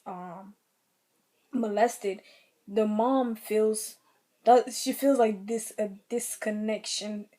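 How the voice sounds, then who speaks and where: A young woman with a slightly raspy throat, giving earnest, rambling commentary on her own vlog channel. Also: mid-range voice, dark tone. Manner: earnest, rambling, many fillers. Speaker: vlogger.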